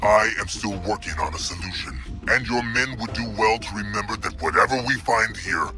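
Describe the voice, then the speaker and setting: A man's voice: on the low side, fairly quick, lightly acted. A man voicing a stern, domineering alien brute warlord in a video game, menacing and formal, with music behind him. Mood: stern, wary. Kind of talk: video game character acting.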